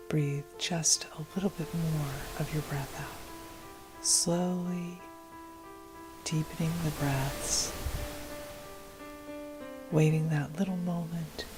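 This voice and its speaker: A woman leading a guided meditation in a gentle, unhurried, breathy voice that often nears a whisper. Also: low-pitched. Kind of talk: guided meditation, slow soothing narration. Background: meditation music.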